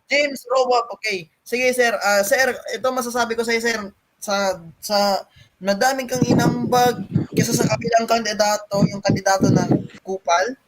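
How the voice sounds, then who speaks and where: A man with a Filipino accent hosting an improvised game show interview in a halting, repetitive way. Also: extremely high pitch, steady tone, a bit bright, poor audio. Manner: halting, repetitive, many sirs. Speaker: show host.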